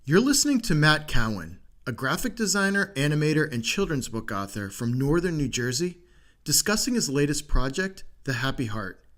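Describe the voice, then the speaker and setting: A man with an American accent and a slightly high voice hosts a podcast. He speaks politely and in orderly phrases, as an interviewer does. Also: very animated, normal speed, fairly bright tone. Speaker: podcast host.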